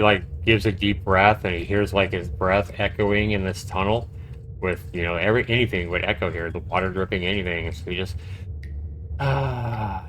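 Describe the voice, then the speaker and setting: A man's voice: deep voice, fairly bright tone, a little hiss or hum, low-quality recording. A man live-streaming a game, reading its text aloud and adding casual, reactive commentary. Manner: reactive, conversational.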